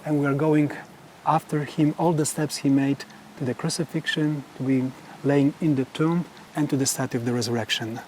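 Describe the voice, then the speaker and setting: Polish-speaking monk, slow and earnest. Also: male voice, fairly high pitch, fairly even intonation, darkish tone, slight background noise, rough recording. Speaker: Carmelite monk giving a tour. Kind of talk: casual guided tour conversation.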